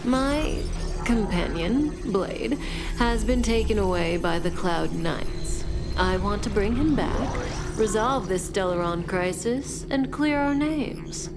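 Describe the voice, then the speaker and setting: An actress playing a cool, mysterious, teasing wanted criminal in a video game, unhurried and suave. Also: female voice, medium pitch, lively intonation. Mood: composed, persuasive. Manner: unhurried, coolly amused, suave. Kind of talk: English video game voice acting. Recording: background music under the voice.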